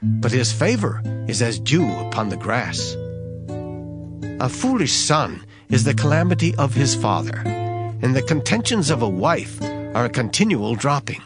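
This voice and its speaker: Expressive male scripture reader over guitar. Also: mid-range voice, measured pace. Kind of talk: dramatized Bible audiobook reading. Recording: guitar music behind the voice. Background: plucked guitar music.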